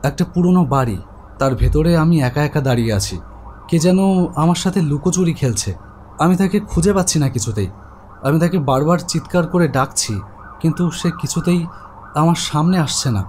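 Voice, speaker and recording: A man playing an exasperated husband who runs a trucking business and tells his own story in an animated horror tale, talking conversationally. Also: fairly high pitch, a little fast, long pauses, a little hiss or hum, close to an everyday voice. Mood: puzzled. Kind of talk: Bengali animated horror story acting and narration.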